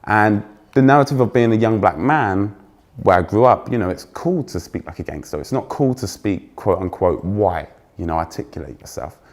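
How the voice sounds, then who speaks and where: Young English male keynote speaker, fairly posh accent, loud, energetic, teases the crowd. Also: fairly low pitch. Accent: trained-out Essex, now fairly posh English. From England. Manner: energetic, joking with the audience, self-deprecating. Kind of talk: conference keynote talk. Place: stage before a live audience.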